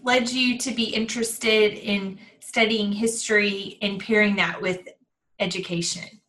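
A woman's voice: mid-range voice, steady tone, unhurried, fairly bright tone, low-quality recording. A woman hosting an interview podcast, introducing a guest and asking upbeat, encouraging questions. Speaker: podcast host.

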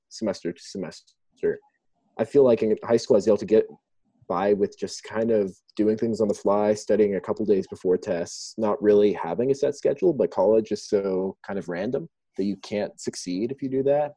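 A young man from Thailand answers interview questions in a thoughtful, rambling way, with frequent pauses. His voice is very dark and mellow, a little husky, and rather low. The remote call recording is dry, with no room echo. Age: young adult. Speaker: student guest.

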